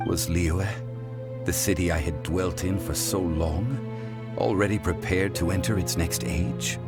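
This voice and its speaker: Ancient god in mortal guise: very low, composed, eloquent game monologue with music under it. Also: male voice. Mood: reflective. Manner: measured, formal, eloquent, calm. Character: ancient male god in human form, composed, wise. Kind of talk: solemn video game monologue. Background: game soundtrack music.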